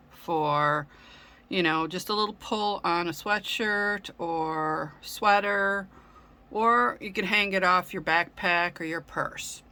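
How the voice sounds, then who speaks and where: A woman hosting a casual craft tutorial, speaking gently with frequent ums, her voice a little raspy from a sore throat. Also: fairly low pitch. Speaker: craft channel host.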